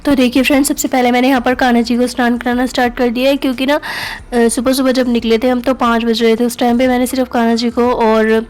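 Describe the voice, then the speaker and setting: A woman's voice: a little high, flat delivery, quick pace, a little mellow, rough recording. A young woman narrating her family vlog in voice-over, chatty and full of fillers. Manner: chatty, many fillers like "na" and "matlab". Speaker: family vlogger.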